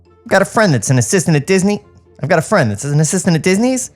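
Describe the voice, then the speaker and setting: A man's voice: high-pitched, very animated, fast, faint music behind, pitched above the performer's own voice. A man voicing an eager, chatty young animation assistant in a comic script read. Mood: eager, gossipy.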